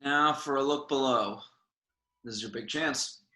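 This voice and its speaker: A man playing a steady, commanding spaceship captain in an amateur table read, giving clipped orders. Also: medium pitch, unhurried, roomy sound. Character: steady, commanding spaceship captain exploring a derelict alien ship.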